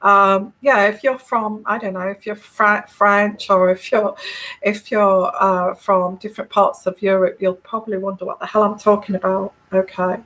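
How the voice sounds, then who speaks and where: A woman's voice: medium pitch, fairly even intonation, moderate pace, fairly bright tone, poor audio. A middle-aged woman with an English accent talks straight to her viewers in a loose, rambling way. She keeps restarting her sentences, fills the gaps with ums, and laughs easily. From England. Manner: rambling, chatty, laughs often, many fillers and restarts. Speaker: live-stream tarot reader. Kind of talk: casual live-stream chat with viewers.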